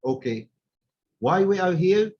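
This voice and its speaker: A man's voice: sits high, lively intonation, takes it slowly, mellow, slightly rough, dull audio. A man in his forties from Egypt, an Arabic speaker, giving a chatty business presentation that he keeps punctuating with "okay". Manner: chatty, peppers talk with "okay". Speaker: guest speaker.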